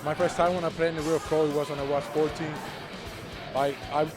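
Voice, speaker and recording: Teen boy, Dominican Spanish accent, quick, slightly high, loud music. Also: little pitch movement. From Dominican Republic. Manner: quick, searching for words. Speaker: high school basketball recruit. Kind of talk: interview answers.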